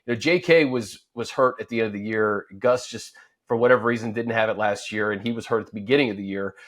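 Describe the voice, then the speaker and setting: Lowish-voiced man hosting sports talk. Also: fast talker, a bit bright. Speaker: sports show host. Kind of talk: casual sports discussion.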